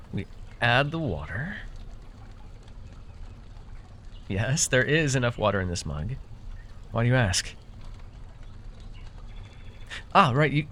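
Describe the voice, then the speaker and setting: A man acting as a proud magical herbalist in a fantasy audio roleplay, with a mid-pitched voice. He speaks directly to one listener, theatrical and easily exasperated, with a sheepish stammer creeping in. Some background noise sits under the voice. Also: fairly bright tone. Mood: instructing. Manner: theatrical boasting that slips into sheepish, stammering asides. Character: proud, easily exasperated young magical herbalist who gave away his name.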